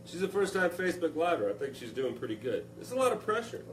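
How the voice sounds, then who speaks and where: A man's voice: fairly high pitch, very animated, quick pace, slight background noise, rough recording. A young man hosting a casual live Q&A, upbeat and joking as he reads out viewer comments. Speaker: live stream host.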